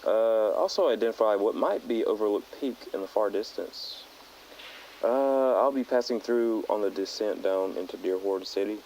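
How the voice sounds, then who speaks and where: A man playing a thoughtful teenage hiker who speaks haltingly and earnestly into a personal audio diary. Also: on the low side, a little mellow, natural voice, low-quality recording. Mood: calm, reflective. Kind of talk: found-footage audio log monologue. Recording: outdoor tape log with nature noise.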